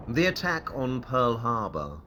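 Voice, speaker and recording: Aloof, logical detective, played by a man, crisp bright tone, lively inflection, radio play with faint noise behind. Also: medium pitch, a little slow. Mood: cool, detached. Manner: detached, matter-of-fact, clipped. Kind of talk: audio drama acting.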